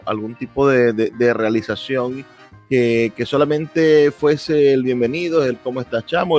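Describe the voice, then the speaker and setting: A man with a Venezuelan accent hosting a radio interview, asking long conversational questions in a halting way, searching for words. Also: mid-range voice, darkish tone.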